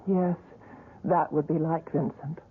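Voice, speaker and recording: A woman's voice: low-pitched, fairly even intonation, unhurried. An actress playing an earnest, pleading woman in old-time radio drama style. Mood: pleading, wistful. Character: earnest woman friend, pleading to hear details. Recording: noisy, dull lo-fi old radio recording.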